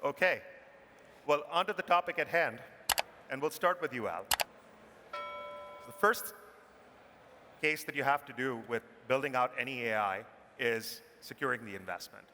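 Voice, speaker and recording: A man moderates a conference panel and builds long, layered questions for each speaker. His voice sits at a middle pitch with a bright tone. A reverberant hall and faint ambience wrap around him. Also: moderate pace, pauses often, a little hiss or hum. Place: conference stage in a large hall.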